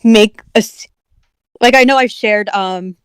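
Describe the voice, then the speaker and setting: Smooth-voiced chatty woman co-host. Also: mid-range voice, a little slow, no room sound, studio quality. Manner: chatty, earnest, with frequent filler words. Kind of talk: casual chat on a stream.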